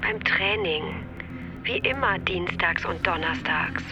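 A woman's voice: fairly low pitch, takes it slowly, music in the background. An actress playing a worn-out, practical, reproachful mother in a German radio drama.

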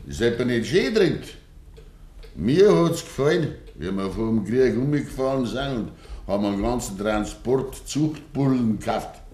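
An actor playing a stubborn, grieving old farmer with a Bavarian accent and quiet tenderness in a 1950s dialect radio play. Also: male voice, medium pitch, animated, unhurried, natural voice. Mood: stubborn, indignant. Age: older. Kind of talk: 1950s German dialect radio drama acting.

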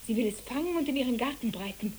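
A woman's voice: a little high, lively intonation, quick pace, a little mellow, lightly acted. A woman playing a dreamy, talkative child in a German radio play, speaking wistfully and lyrically. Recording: hissy old radio play recording.

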